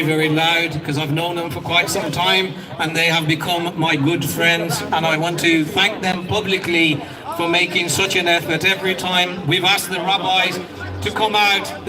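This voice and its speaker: Loud, slow-talking rally host. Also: male voice, sits high. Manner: loud, self-described big voice, leads shouted chants. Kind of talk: rally compering and chanting. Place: outdoor street rally. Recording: open-air rally from far off, music and crowd.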